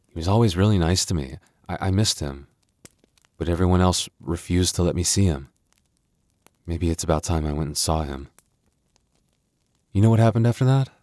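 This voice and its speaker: A man acts out a young werewolf boyfriend with an extremely deep voice in an intimate audio roleplay. He is gentle and earnest, hesitating and stumbling over his words as he opens up. The sound is dry, with hardly any room on it. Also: dry recording. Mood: earnest, resolute. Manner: soft, earnest, hesitant, stammering.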